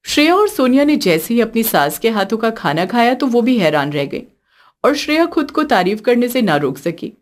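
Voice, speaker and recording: A woman's voice: fairly high pitch, big pitch swings, moderate pace. A woman narrating a scripted story as a storyteller.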